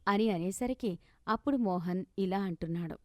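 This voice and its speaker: A woman narrates a scripted moral story. Her voice is of medium pitch and recorded very dry, with no room around it. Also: normal speed, dark tone, no room sound.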